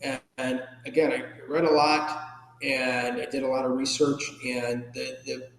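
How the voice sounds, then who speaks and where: An American man speaks as an interview guest in a candid, informal, reflective way. His pitch sits in the middle range for a man. The room around him is echoey, with a long reverberant tail. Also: flat delivery, measured pace, gravelly, a little hiss or hum. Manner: candid, informal, occasionally salty. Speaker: podcast guest, ethics trainer and public speaker. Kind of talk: reflective personal interview answers.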